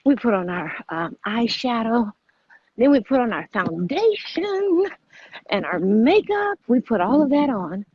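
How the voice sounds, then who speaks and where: A woman's voice: on the high side, big pitch swings, a little slow, husky, dull audio. A woman hosting a casual motivational fitness vlog outdoors, repeating her points as she urges listeners on. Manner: repetitive, exhorting. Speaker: vlog host.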